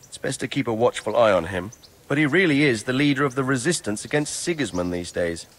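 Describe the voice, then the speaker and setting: A middle-aged man playing a seasoned medieval nobleman in a video game, fatherly and shrewd, explaining things in a measured, authoritative way. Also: mid-range voice, animated, a bit bright, close to an everyday voice. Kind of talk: video game dialogue acting.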